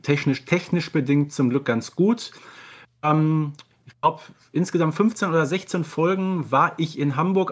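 A man chatting casually as a podcast co-host in an interview, in an informal, colloquial and jokey way. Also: mid-range voice.

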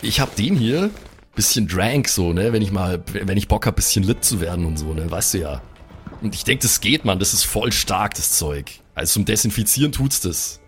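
A man's voice: fairly low pitch, expressive, normal speed. A man improvising as a player in a role-playing podcast, rambling in laid-back youth slang. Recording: music behind. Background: instrumental background music.

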